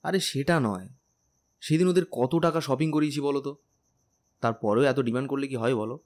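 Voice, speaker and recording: A man's voice: mid-range voice, normal speed, darkish tone, close to an everyday voice. A man playing a tender, teasing young bridegroom in a romantic audio drama, speaking earnestly and persuasively. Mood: playful complaining. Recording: music bed under the voice.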